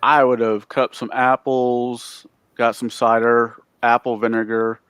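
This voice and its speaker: Loud gregarious male player, average pitch, dry sound. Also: level tone, unhurried, clear, resonant tone, no echo. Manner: loud, boisterous talker. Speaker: tabletop game player. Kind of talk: casual chat on a livestream.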